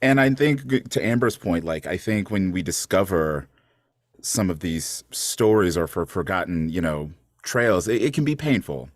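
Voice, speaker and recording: A man's voice: sits low, lively intonation, normal speed, no echo, studio quality. A man talking casually and thoughtfully as a performer in a panel conversation. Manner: informal, thoughtful, frequent 'like'.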